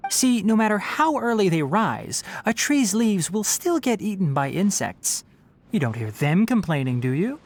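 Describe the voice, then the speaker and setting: A woman voicing a witty, scholarly young fox-eared forest ranger in a game, speaking articulately with a lecturing, gently teasing manner. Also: mid-range voice, big pitch swings, moderate pace, slight background noise, natural voice. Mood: bright, wry. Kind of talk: scripted game character voice lines.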